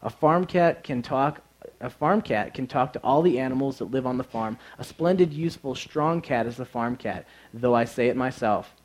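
A man's voice: medium pitch, normal speed, close to an everyday voice. A man voicing a proud, caring mother farm cat in a children's storybook, speaking in declarations.

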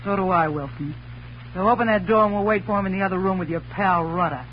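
An actress playing a defiant, hard-boiled woman with sharp retorts in a 1940s radio crime drama. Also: mid-range voice, fast, a little hiss or hum, lightly acted. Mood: defiant, threatening. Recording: muffled, humming old radio recording.

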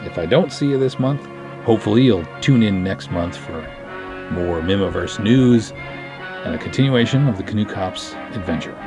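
A man's voice: on the low side, expressive, moderate pace, music in the background. A man hosting a podcast, chatting casually as he addresses his listeners. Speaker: podcast host. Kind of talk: casual show sign-off.

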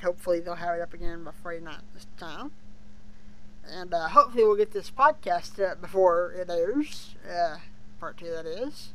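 A podcast host chatting casually about a show for fans, speaking in a halting, hesitant way. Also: mid-range voice, low-quality recording. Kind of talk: casual fan podcast review chat.

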